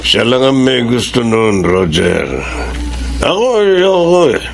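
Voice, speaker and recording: A man's voice: mid-range voice, sing-song, slow, heavily acted. An actor playing a drunk, bitter Filipino father in a radio drama. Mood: drunken, bitter. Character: drunk, bitter father who rejects his son. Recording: clearly noisy recording.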